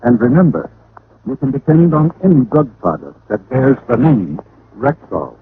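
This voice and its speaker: A man's voice: mid-range voice, big pitch swings, moderate pace, natural voice. An actor playing an earnest, friendly male family druggist in a vintage radio commercial, with emphatic salesmanship. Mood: persuasive. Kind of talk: 1940s-50s radio commercial. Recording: noisy, phone-like old radio recording.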